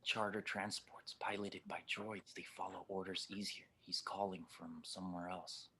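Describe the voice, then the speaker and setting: A man playing a gruff, skeptical, world-weary spaceship pilot in an audio drama, blunt and sardonic. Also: low voice, flat delivery, normal speed, pushed and bright, heavily acted. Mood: skeptical, frustrated. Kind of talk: audio drama table read.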